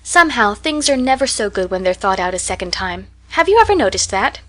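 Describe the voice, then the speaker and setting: A woman's voice: mid-range voice, big pitch swings, a little fast, a bit bright. A woman voicing an eager, talkative orphan girl who speaks in a breathless, imploring, dreamy way in an audiobook. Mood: chattering. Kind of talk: audiobook character voice.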